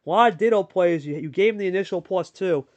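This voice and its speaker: A man's voice: high voice, fast talker, a little mellow. A man giving live sports-style commentary on a card game as host, talking colloquially and addressing players like buddies.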